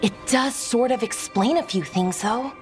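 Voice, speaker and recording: A woman's voice: on the high side, faint music behind, natural voice. An actress playing an eager, chatty young female elven magic researcher who thinks aloud in a fantasy video game. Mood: intrigued, uneasy. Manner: excitable, halting, thinking aloud. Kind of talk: cinematic video game dialogue.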